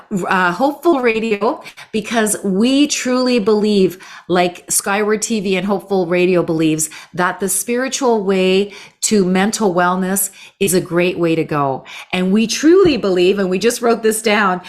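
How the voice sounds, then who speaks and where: A woman hosts a conversational spiritual talk show. She speaks at a middling pitch. Her manner is effusive and affirming. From Canada. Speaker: show host.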